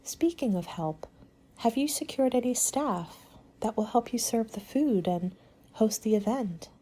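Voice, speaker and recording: A woman doing a soft-spoken ASMR roleplay as a helpful party planner, gentle and measured, often slipping into a whisper. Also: on the high side, animated, husky.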